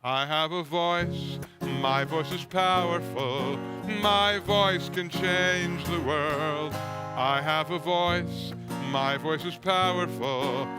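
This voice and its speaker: Man leading a synagogue service. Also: a little high. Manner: sings slow repeated lines, then talks casually and quickly. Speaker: service leader. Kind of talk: singing and leading a worship service. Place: synagogue sanctuary. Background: guitar music.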